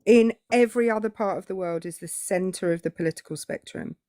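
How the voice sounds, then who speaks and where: A woman's voice: mid-range voice, brisk, mellow, dry recording, clean studio sound. A British woman arguing politics in a live debate, cutting and sarcastic, often cutting in. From Britain.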